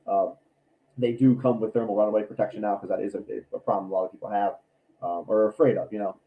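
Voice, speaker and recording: A man with a raspy voice from allergies, chatting casually on a livestream about his builds, rambling with many ums and restarts. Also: on the low side, steady tone, quick pace, narrow, tinny audio. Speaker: cosplay maker and YouTuber.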